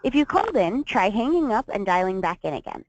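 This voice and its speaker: Woman hosting a webinar with a lively, up-and-down announcer voice. She reads her introduction in a clear, orderly way. The recording sounds dry and dull, a bit lo-fi. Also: medium pitch, brisk, no echo. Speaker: webinar organizer and host.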